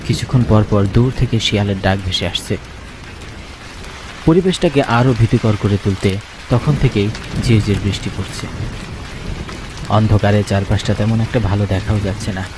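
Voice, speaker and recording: A man narrating a scripted horror story in the first person, with dramatic, suspenseful delivery. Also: on the low side. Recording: constant rain and thunder noise behind. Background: rain and thunder sound effects.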